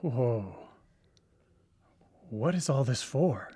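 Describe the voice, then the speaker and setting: An actress playing a friendly but skeptical scientist, wry and questioning, in conversational live radio drama acting. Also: female voice, very deep voice, animated, drawn-out words, heavy background noise, heavily acted. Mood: skeptical, musing.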